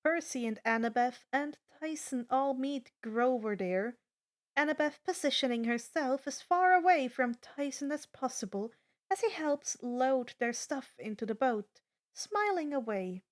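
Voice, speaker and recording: A woman's voice: sits high, lively intonation, measured pace. A woman reading a story aloud as a fan fiction narrator.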